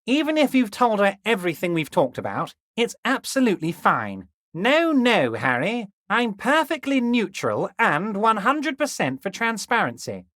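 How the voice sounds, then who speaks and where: A man voicing a scheming, smarmy middle-aged union boss in a video game, ingratiating and slow with oily reassurances that carry a veiled threat. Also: high voice, huge pitch swings, a bit bright, dry recording, studio quality, heavily acted. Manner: ingratiating, keeps repeating the listener's name. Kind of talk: recorded game dialogue lines.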